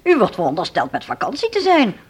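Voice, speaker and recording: A woman's voice: on the high side, wildly swooping pitch, quick pace, breathy edge, exaggerated voice. An actress playing a chatty, deferential, motherly housekeeper in a radio drama. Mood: chatty, obliging.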